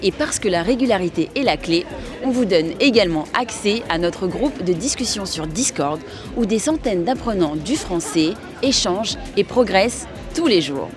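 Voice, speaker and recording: A woman host interviewing passers-by in the street and reading a promo, asking measured, clear questions. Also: medium pitch, lively intonation, over music. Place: city street outdoors.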